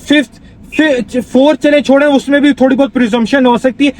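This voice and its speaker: A man's voice: extremely high pitch, fairly even intonation, fairly quick, a little mellow. A man with a Pakistani accent playing a condescending, fussy father seeking a tutor on a prank phone call, insistent and repeating himself. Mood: condescending, probing. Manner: insistent, repeats himself, mixes English into Urdu. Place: inside a car while driving.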